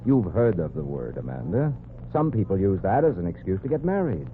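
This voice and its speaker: A sardonic rich gentleman. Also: sits low, sing-song, a little fast, vocal fry, slight background noise, heavily acted. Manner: dry, sardonic. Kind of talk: 1940s radio comedy acting. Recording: old radio broadcast, lo-fi.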